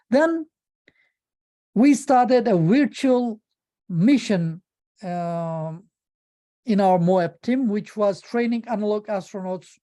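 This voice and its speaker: A woman giving an informal slide presentation about her amateur radio work, in halting with frequent pauses. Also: mid-range voice, warm tone. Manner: halting non-native English with frequent pauses.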